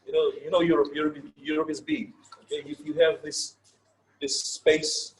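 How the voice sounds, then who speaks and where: A man from Fiji with a Fijian accent, a native Fijian speaker, giving an informal lecture. He pauses often, repeats words and checks in with his listeners. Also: fairly high pitch, a little mellow, poor audio. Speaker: session leader.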